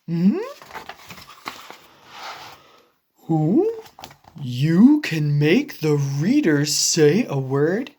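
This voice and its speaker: A man voicing a big, naive, anxious male elephant in an animated picture-book read-aloud, earnest and easily astonished. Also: a little high, very slow, husky, pitched below the performer's own voice, heavily acted. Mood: puzzled.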